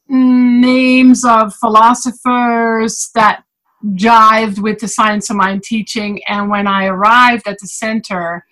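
A woman's voice: medium pitch, sharp tone, rough recording. A woman in her sixties, from the Netherlands, giving a guest interview and speaking reflectively about self-love. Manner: reflective, thoughtful. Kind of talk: casual interview talk.

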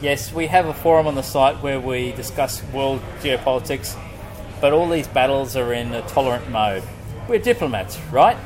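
A man co-hosts a relaxed podcast and reads a guest's written answers aloud. He talks in a loose, joking way and swears casually. Music plays clearly beneath his voice. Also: mid-range voice, moderate pace. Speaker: podcast co-host. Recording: music playing underneath.

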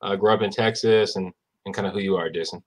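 A man hosts a relaxed interview, his voice sitting a little low. He asks his questions loosely, meandering and filling pauses before he gets to the point. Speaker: podcast host.

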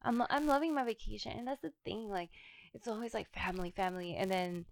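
A woman co-hosting a casual podcast, relaxed and a little tired, often trailing off mid-thought. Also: mid-range voice, fast talker, creaky, no echo. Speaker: podcast co-host. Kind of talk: casual chat.

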